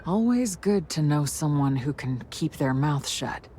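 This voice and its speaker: A woman's voice: low-pitched, lively intonation, measured pace, warm tone, slight background noise. A woman playing a menacing, sardonic frontier gang boss in a video game, with a taunting, theatrical drawl. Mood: amused.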